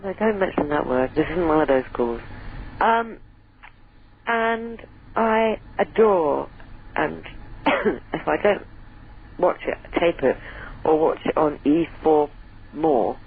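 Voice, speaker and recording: A woman calling in to a radio phone-in, chatty and giggly, her voice a little hoarse. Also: sits low, lively intonation, takes it slowly, long pauses. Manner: chatty, giggly, self-deprecating. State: voice going hoarse. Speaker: caller. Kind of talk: phone-in chat. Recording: tinny phone line.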